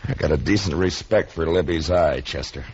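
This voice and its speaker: Man playing a deep-voiced, weary western marshal. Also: fairly quick, a little mellow. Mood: measured. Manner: laconic, resigned. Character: weary, steady western marshal, reluctant and patient. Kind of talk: old-time radio western acting. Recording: dull, noisy lo-fi radio drama recording.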